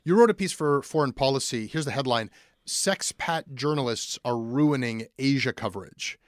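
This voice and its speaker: A man's voice: mid-range voice, normal speed, no room sound, clean studio sound. A man hosting a radio interview, asking curious, conversational questions.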